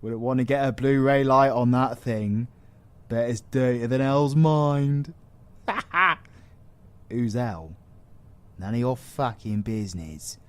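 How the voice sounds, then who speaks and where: A man's voice: mid-range voice, slow, pauses often, clear, resonant tone, close to an everyday voice. A British man playing a cocky, foul-mouthed supervillain in an audio roleplay, crude, teasing and sarcastic. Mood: crude amusement. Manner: crude, teasing, sarcastic, heavy slangy swearing. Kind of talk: audio roleplay acting addressed to the listener.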